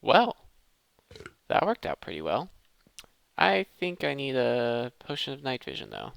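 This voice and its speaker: A man's voice: mid-range voice, wildly swooping pitch, unhurried, creaky, fairly bright tone, dry recording. A man giving casual, conversational gameplay commentary as a gaming host, thinking aloud as he plays.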